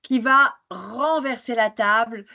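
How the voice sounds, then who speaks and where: Woman, conference panelist, somewhat high voice, passionate, hesitant, many restarts. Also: slow, gravelly, bright, forceful tone. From born in Algeria, grew up in Argentina. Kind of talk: panel discussion answer.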